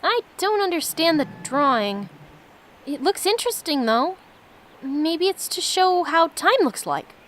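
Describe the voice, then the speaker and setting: A woman's voice: extremely high pitch, very animated, unhurried, fairly bright tone, pitched above the performer's own voice, heavily acted. A woman voicing an innocent, earnest young girl in a video game, with a simple, wondering manner. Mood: puzzled, wondering.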